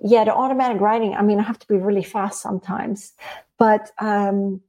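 A woman from the Netherlands, telling personal stories in a casual, animated, halting way as an interview guest. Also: mid-range voice. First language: Dutch. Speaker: interview guest, spiritual channeler. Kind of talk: casual conversational storytelling.

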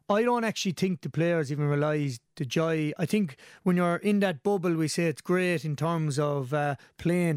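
High-pitched, slightly hoarse Limerick man. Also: darkish tone, dry recording, studio quality. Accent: Limerick Irish. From Limerick, Ireland. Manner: rambling, enthusiastic. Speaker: sports podcast pundit and commentator. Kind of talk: casual sports discussion.

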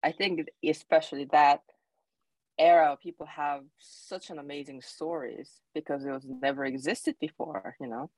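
A woman's voice: deep voice, fairly even intonation, normal speed, a little mellow, dry recording, poor audio. A woman from South Korea in her thirties with a Korean accent, a guest chatting freely with many "you know" and "like" fillers. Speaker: guest, travel blogger. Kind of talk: casual interview answers.

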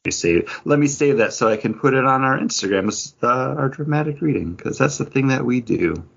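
A man's voice: mid-range voice, expressive, fairly quick, vocal fry, fairly bright tone, husky. A man co-hosting a podcast, chatting casually in a rambling, self-correcting, enthusiastic way. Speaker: comics podcast co-host. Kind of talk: casual chat reviewing comic books. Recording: dull lo-fi audio.

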